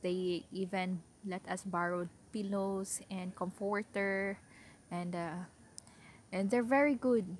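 A woman's voice: mid-range voice, steady tone, slow pace, a little hiss or hum. A young woman vlogging in casual, upbeat chat from an outdoor hilltop campsite, with a tendency to repeat herself. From Philippines. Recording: loud crickets and insects behind.

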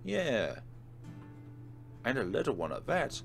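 A man's voice: medium pitch, wildly swooping pitch, takes it slowly, gravelly. A man hosting a let's play, reading a visual novel aloud dramatically with casual commentary. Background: game music.

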